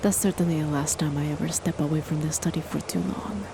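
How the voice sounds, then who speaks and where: A woman playing a controlling, sinister chemist who is the listener's partner, in an ASMR horror roleplay, talking softly in a half-whisper, politely but with quiet threat. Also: low-pitched, quick pace, gravelly. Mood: courteous. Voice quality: soft, whispery delivery. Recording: steady rain noise behind the voice. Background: rain.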